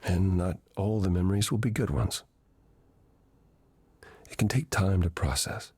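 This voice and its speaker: Old weary god mentor for a video game, really deep smooth voice, patient and measured. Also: male voice, a little mellow, dry recording, heavily acted. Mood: patient, reassuring. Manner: measured, reflective, explanatory. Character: ancient weary god reborn in a man, gentle patient mentor.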